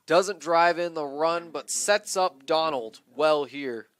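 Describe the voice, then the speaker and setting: A man's voice: a little high, slow pace, fairly bright tone, clean tone, no echo. A man giving conversational live analysis as a baseball color commentator.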